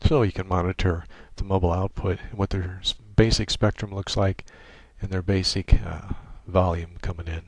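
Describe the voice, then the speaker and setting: A man giving an informal, matter-of-fact technical software walkthrough as he demonstrates a setup. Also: low-pitched, flat delivery, normal speed, hardly any pauses, dry recording. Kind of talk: informal screen-share tutorial narration. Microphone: desktop microphone monitored through computer audio. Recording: clearly noisy home microphone recording.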